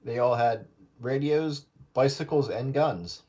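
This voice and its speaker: Man with mid-pitched voice, chatting casually. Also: slow pace, heavy background noise. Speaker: radio operator. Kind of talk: casual reminiscing chat.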